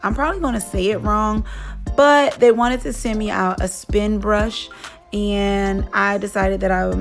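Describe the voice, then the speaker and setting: Chatty woman vlogger, slightly hoarse. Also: medium pitch, fairly even intonation, unhurried, faint music behind. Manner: chatty, rambling, many fillers. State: slightly hoarse, losing her voice. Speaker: beauty vlogger. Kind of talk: casual chat. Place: vacation lodging, indoors.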